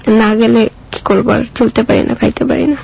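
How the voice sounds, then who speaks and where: Creaky-voiced Bangladeshi woman, plaintive. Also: a little high, steady tone, a little fast, a little mellow, lo-fi sound. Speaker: interview guest. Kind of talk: personal life story told in an interview.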